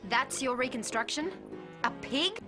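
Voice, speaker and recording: A woman's voice: sits high, lively intonation, measured pace, over music. A woman playing a sensible, by-the-book cartoon police detective, dry and businesslike. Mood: exasperated. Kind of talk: cartoon voice acting.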